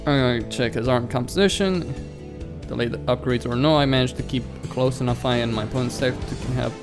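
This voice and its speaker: A man's voice: mid-range voice, a little fast, music in the background. A man hosting a let's play, reading a visual novel aloud and stumbling over words now and then, with casual side comments.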